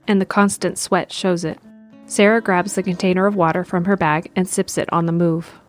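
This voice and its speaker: A woman narrating a scripted audio drama in the present tense, describing the action. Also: on the low side.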